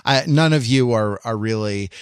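An American man chatting casually and analytically as a podcast co-host, halting and circling through his thoughts with a wry, joking edge. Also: mid-range voice, smooth, no echo, clean studio sound.

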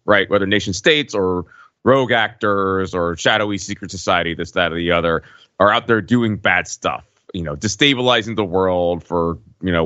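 A man's voice: low voice, fairly bright tone, no echo, highs cut off. A man talking casually as a podcast panelist, thinking through films with rambling asides and qualifications. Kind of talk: casual film discussion.

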